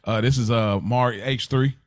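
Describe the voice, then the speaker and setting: A man's voice: medium pitch, normal speed, no echo, clean studio sound. An American man hosting a podcast, chatting casually and telling stories in slangy speech, acting out remembered exchanges. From United States, Kentucky and Indiana. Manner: animated, slangy storyteller who acts out remembered conversations. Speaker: podcast host. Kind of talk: casual storytelling chat.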